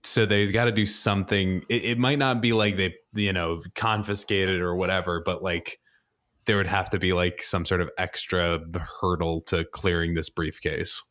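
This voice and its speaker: A man with a fairly low voice plays a tabletop role-playing game. He talks casually, improvising his character's actions as he goes. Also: steady tone, normal speed, a bit bright.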